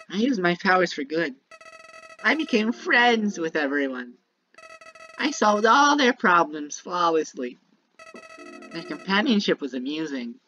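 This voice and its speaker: Music plays under a muffled, lo-fi recording of a childlike, villainous talking flower. The voice swoops widely in pitch through a theatrical game monologue. It is bitter and manipulative, sweetly musing and then menacing. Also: female voice, fairly bright tone. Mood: wistful, scornful. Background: prominent music.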